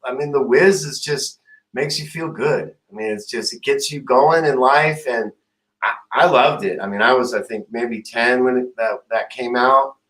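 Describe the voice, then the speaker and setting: A man's voice: medium pitch, fairly bright tone, low-quality recording. A man in his fifties hosting a talk show, effusive and rambling as he chats with a guest. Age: 50s. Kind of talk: casual interview chat.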